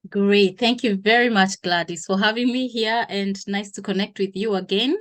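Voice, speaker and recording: A woman's voice: medium pitch, moderate pace, fairly bright tone. Kenyan-born woman explaining her career. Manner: hesitant, self-correcting, explains at length. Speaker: guest. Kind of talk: interview conversation.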